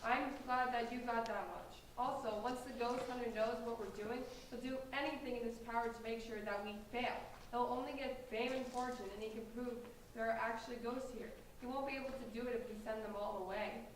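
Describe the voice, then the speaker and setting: A woman's voice: on the high side, steady tone, fairly quick, close to an everyday voice. Confident, impatient young schemer, woman at a staged reading, far mic, faint hiss. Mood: impatient, explaining. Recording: very echoey room, distant mic, faint noise.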